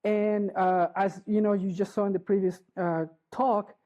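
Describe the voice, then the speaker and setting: A middle-aged woman from Chile, giving a live conference talk in a halting way, restarting often and checking in with the audience. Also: mid-range voice, little pitch movement, dark tone, dull audio. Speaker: conference speaker. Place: conference hall.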